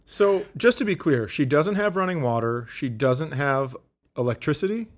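A man's voice: a little high, animated, moderate pace. A man co-hosting a comedy podcast in casual banter, cutting in with pointed questions and dry quips. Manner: interjecting, mock-exasperated questioning, dry quips.

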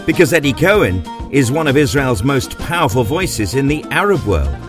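A man from England hosting a podcast, reading scripted narration and interviewing a guest in a measured, emphatic way. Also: medium pitch, sing-song, music in the background. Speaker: podcast host.

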